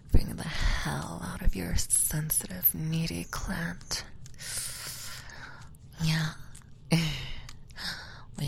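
A woman whispers in a soft, breathy, intimate roleplay. Her low voice drags slowly over every word, and a steady hiss runs under the recording. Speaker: audio roleplay performer.

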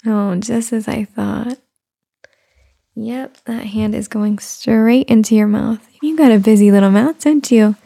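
A woman in a soft-spoken roleplay, whispering and cooing in a soothing way. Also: on the high side, steady tone, a little slow. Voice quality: whispery, breathy. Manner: cooing, soothing, talks as to an infant. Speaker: roleplay performer.

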